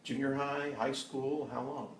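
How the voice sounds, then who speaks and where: A man's voice: mid-range voice, little pitch movement, measured pace. A man from Texas with a jokey, folksy manner, asking questions as a guest interviewer. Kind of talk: casual interview. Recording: roomy live stream from a distance, faint noise.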